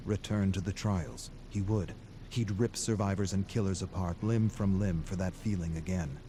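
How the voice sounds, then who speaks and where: A man giving a dramatic scripted story narration, brooding and deliberate, in short phrases with weighty pauses. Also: low-pitched, noisy background.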